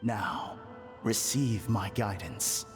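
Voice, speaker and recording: A man's voice: fairly low pitch, drawn-out words. A man playing a solemn, commanding spiritual counselor in a video game, with a pompous delivery. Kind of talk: video game character acting. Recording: long hall reverb, music behind.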